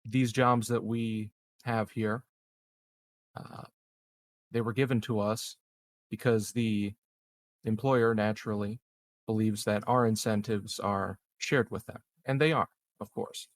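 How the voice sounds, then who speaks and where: A man improvising in-character conversation as a roleplaying player, phrasing things in a measured, halting way. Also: on the low side, fairly even intonation, dry recording.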